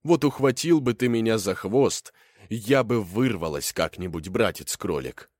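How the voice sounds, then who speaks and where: A man's voice: fairly low pitch, expressive, unhurried, a little mellow, dry recording. A man voicing a trusting, slightly grumbling mother cow in a fairy tale, with plain, homely replies. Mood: complaining. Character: slow good-natured mother cow, trusting, grumbling a little.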